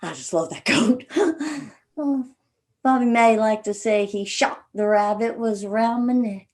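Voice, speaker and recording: A woman's voice: fairly high pitch, measured pace, a little mellow, natural voice. A woman acting a wistful, plain-spoken hill-country sister in a stage play, with an Appalachian accent. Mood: wistful, fond. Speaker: actor in a one-act play. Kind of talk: stage play dialogue, reminiscing.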